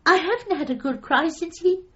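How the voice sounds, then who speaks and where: Meek doleful widow, high-pitched, hesitant, polite, literal-minded, comic radio play, slightly dull recording. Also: female voice, expressive, fairly quick, a bit bright. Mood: doleful, apologetic. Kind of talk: comic radio drama acting.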